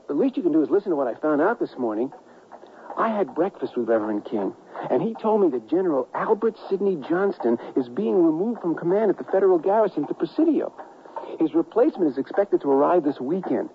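A man's voice: on the high side, very animated, a little fast. An actor playing an eager, effusive, educated newspaperman in a radio western, gushing and cultivated. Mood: confiding. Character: eager, talkative, educated newspaperman, a friendly gossip. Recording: tinny, slightly noisy vintage radio drama.

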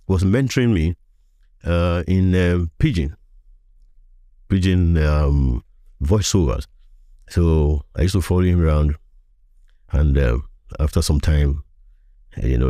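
Older man, Nigerian accent, bass-deep voice, interview guest reminiscing, very dry recording. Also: warm tone, no room sound. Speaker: podcast guest, veteran voiceover artist.

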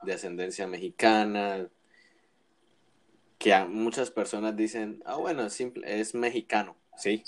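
Low-voiced man chatting casually. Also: fairly even intonation, a little slow. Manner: opinionated, thinks aloud with many restarts and tag questions. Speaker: wrestling podcast co-host. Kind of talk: casual chat.

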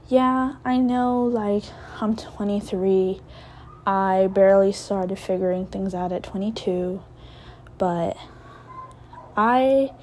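Slow-talking young woman, mellow voice. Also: medium pitch, slight background noise. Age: 20s. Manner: rambling, reflective, many fillers and restarts. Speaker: podcast host. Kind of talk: casual solo podcast talk.

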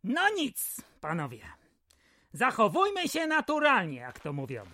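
A man's voice: extremely high pitch, huge pitch swings, unhurried, bright, forceful tone, slight background noise, full character voice. An actor playing a jovial, folksy old village grandpa who drinks with his pals in an audio drama. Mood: hearty, wary. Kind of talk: Polish audio drama acting.

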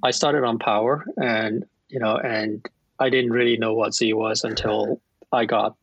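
Husky-voiced man. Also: fairly low pitch, fairly even intonation, unhurried, dry recording, dull audio. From Hong Kong. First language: Cantonese. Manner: plain explaining, with frequent fillers. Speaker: compiler engineer. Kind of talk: casual interview answers.

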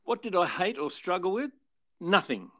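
An older Australian man, a former radio and television presenter, telling anecdotes in a relaxed interview and acting out the people he quotes. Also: high voice, moderate pace, no room sound, clean studio sound. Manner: chatty, animated retelling with voiced quotes.

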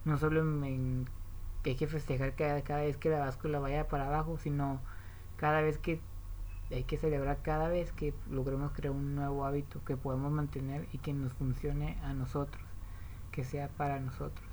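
A woman's voice: low-pitched, flat delivery, moderate pace, a little mellow, slight background noise. A woman with a Mexican accent explaining diet habits in a casual, rambling way, often restarting and correcting herself.

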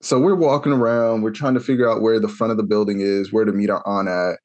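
A young adult man from Kansas telling a long, animated story in a casual podcast chat, acting out the voices he quotes. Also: on the low side, fast, muffled recording. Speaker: podcast co-host.

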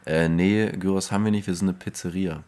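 A man's voice: low-pitched, fairly even intonation, fairly quick, a little mellow. A young man chatting casually with friends on a podcast in slangy, colloquial speech. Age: young adult. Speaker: podcast participant. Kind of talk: casual chat among friends.

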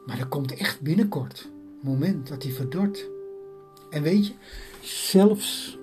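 A haughty bird princess with a low, mellow voice who talks down in slow, condescending lines, as a woman storyteller puts her on. She makes her demands in a spoken fairy tale, with soft guitar behind her.

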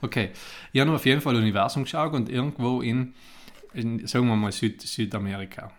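A man's voice: medium pitch, a little fast. A man co-hosting a podcast, telling stories in casual, colloquial dialect. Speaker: podcast co-host. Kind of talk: casual banter and storytelling.

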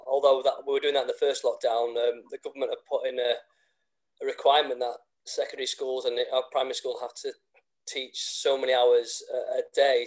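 A congested man with a cold and a sore throat, speaking in a flat, level tone. He answers casually and hesitantly, restarting his sentences and filling pauses, with a mid-pitched voice. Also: poor audio. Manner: hesitant, many restarts and fillers. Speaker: PE teacher.

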